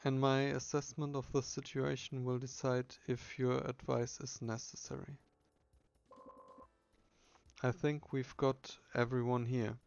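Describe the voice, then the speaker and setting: A man's voice: medium pitch, level tone, measured pace, vocal fry, darkish tone, smooth, lo-fi sound, soft background music. A man voicing a stern, authoritative squad captain in a game, clipped and commanding. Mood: stern, assertive.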